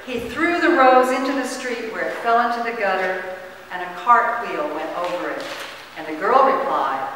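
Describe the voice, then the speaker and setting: A woman narrating a story as a dramatic reading, with expressive, theatrical phrasing. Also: on the high side, normal speed. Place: large church, distant camera mic. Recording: echoey, noisy hall from far off.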